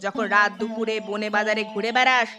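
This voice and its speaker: A woman voicing a protective, scolding village mother in an animated folk tale, speaking with rustic exclamations. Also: on the high side, fairly quick, music in the background, close to an everyday voice. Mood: suspicious, stern. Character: strict, scolding village mother, protective but soft-hearted.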